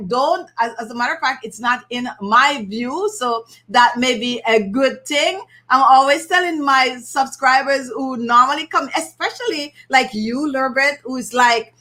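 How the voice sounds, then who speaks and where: A Jamaican woman chats on a live stream in a high, bright voice. She is outspoken and laughs easily as she answers her viewers' comments. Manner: outspoken, laughs often, reads comments aloud. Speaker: beauty channel host.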